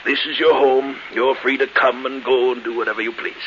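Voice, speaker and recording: An actor playing an effusive, fussy elderly invalid uncle who stammers and repeats himself, in 1940s radio drama style. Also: male voice, mid-range voice, fairly even intonation, brisk, close to an everyday voice. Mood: chatty, fussy. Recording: hissy, muffled 1940s radio broadcast.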